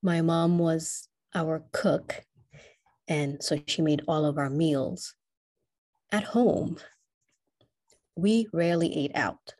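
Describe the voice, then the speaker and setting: Low-voiced Kenyan woman, reflective. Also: darkish tone. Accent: Kenyan English, partly Americanized. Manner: reflective, measured storytelling. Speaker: interviewee. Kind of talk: personal interview.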